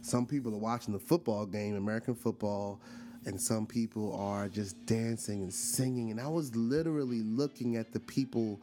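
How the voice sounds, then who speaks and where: A man's voice: lowish voice, steady tone, normal speed, dark tone. Chatty man in his forties, faint music. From Brooklyn, New York. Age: 40s. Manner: chatty, frequent fillers and restarts, asks listeners questions. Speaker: podcast host. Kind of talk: casual storytelling monologue.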